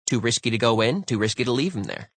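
A man's voice: medium pitch, expressive, quick pace, a bit bright, dry recording, voice pushed up. A man voicing a blunt, slangy, agitated woman crew member in a science fiction audiobook. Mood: blunt, edgy.

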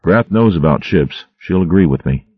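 A man's voice: low voice, animated, normal speed, husky, no echo, narrow, tinny audio. A man voicing a cheerful male shrew sailor with a folksy, rustic way of talking. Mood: admiring.

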